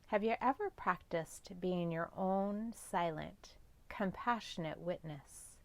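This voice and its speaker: A woman guiding a meditation as a yoga nidra teacher, speaking in gentle, measured instructions with long pauses. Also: on the low side, creaky, dry recording. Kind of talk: guided meditation.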